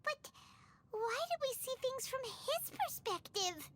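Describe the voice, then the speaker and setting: A woman voicing a small, chatty, childlike fairy companion with a squeaky voice in a video game. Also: sing-song, takes it slowly, a bit bright, exaggerated voice. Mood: puzzled. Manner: refers to self in third person, exclamatory. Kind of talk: animated video game voice acting.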